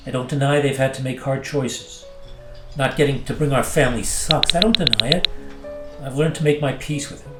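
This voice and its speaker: A man playing an earnest, easily rattled pilot in an audio drama, halting and indignant in his delivery. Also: medium pitch, reverberant, loud music behind the voice, close to an everyday voice. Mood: uneasy, resigned. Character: defensive, flustered, earnest male pilot. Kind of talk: naturalistic audio drama dialogue.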